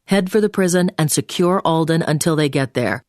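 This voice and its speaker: An actress playing a brisk, commanding intelligence agent in a video game, giving mission orders in a businesslike, clipped way. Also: female voice, low voice, a little mellow, no echo, clean studio sound, natural voice. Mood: businesslike, urgent. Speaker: mission handler over the phone. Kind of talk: scripted video game voice acting.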